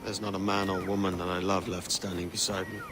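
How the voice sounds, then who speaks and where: An actor playing a remorseful, plain-spoken pirate captain in a video game cutscene. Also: male voice, low voice, flat delivery, fast, background noise, lightly acted. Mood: rueful, weary. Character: weary, remorseful pirate captain, adult man.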